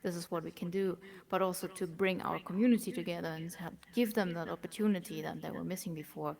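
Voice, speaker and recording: A woman speaking English with a German accent, an esports operations manager chatting in an interview, often trailing off into soft near-whispers. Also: on the low side, steady tone, quick pace, gravelly. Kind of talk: casual interview chat.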